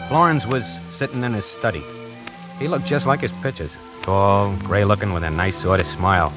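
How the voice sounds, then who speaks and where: A man's voice: lowish voice, moderate pace, lightly acted, poor audio. An actor playing a streetwise salesman, narrating in a colloquial, wry way in 1940s radio acting. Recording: vintage 1940s radio broadcast with music.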